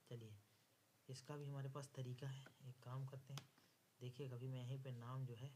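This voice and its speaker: A man's voice: medium pitch, warm tone, slight background noise. A man with an Indian accent teaching an exam lesson, dictating step by step and repeating phrases word by word as he writes. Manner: instructive, repeats phrases word by word while writing.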